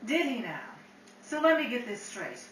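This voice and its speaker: A woman's voice: fairly high pitch, very animated, moderate pace, a bit bright. A woman playing a headstrong, sassy 1930s nightclub singer with slangy, cutting retorts, in old-time radio drama style. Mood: defiant, brassy. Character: brassy, headstrong 1930s nightclub singer, female, hard-bargaining. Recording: roomy, distant mic, hissy background.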